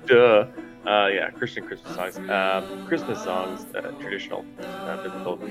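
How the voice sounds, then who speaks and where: A man's voice: low-pitched, expressive, normal speed, gravelly, music in the background. A man co-hosting a casual podcast, rambling and profane, laughing often. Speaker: podcast co-host. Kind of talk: casual chat.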